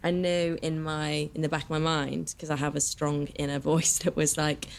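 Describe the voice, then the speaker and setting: Chatty, candid young woman, slightly low. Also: flat delivery, moderate pace, vocal fry, a little hiss or hum. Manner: chatty, candid, many fillers. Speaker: actress, podcast guest. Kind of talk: casual conversation.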